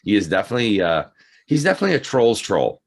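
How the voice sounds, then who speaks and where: Low-voiced man hosting a casual podcast. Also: huge pitch swings, fast. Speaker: podcast host. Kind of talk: casual chat.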